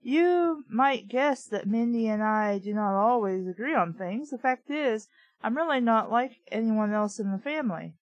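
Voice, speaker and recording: A woman's voice: a little high, expressive, normal speed, no echo, muffled recording. A woman reading a book aloud casually, breaking off into snarky, rambling, conversational asides. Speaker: host reading a children's book aloud with commentary.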